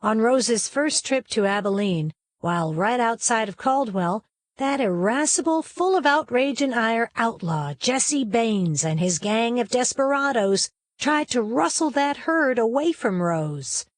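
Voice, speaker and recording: A woman reading a children's story aloud as narrator, in a folksy, expressive storytelling manner with dramatic emphasis. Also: fairly high pitch, measured pace, no room sound, clean studio sound.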